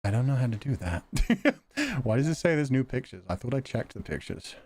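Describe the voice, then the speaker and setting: Animated, wry male livestreamer. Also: mid-range voice, fairly quick. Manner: wry, sarcastic, swears casually. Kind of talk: casual livestream chat with viewers.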